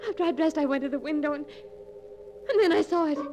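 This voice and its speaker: An actress playing a desperate, frightened young heiress in 1940s radio melodrama, breathless, halting and urgent. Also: female voice, sits high, over music. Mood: anxious narrating. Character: terrified, desperate young heiress pleading and narrating her ordeal. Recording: noisy 1940s radio broadcast.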